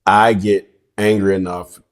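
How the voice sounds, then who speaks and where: A middle-aged man with a somewhat low voice talks in casual podcast chat. He is relaxed and colloquial, pressing his points with emphasis. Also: unhurried. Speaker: podcast co-host.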